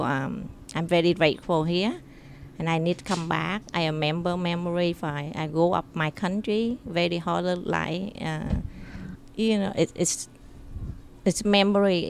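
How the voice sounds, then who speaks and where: A woman from Vietnam, a native Vietnamese speaker, answers interview questions slowly in simple, hesitant English. Her slightly low voice has a gravelly edge, and faint noise hums behind her. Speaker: interview guest.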